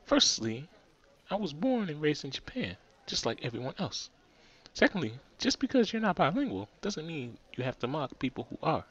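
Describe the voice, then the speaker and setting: A man's voice: a little high, sing-song, normal speed, fairly bright tone, breathy edge, dull audio, pitched above the performer's own voice, full character voice. A man voicing a snippy young barista woman. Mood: cold, curt. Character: curt young female barista with English tinge.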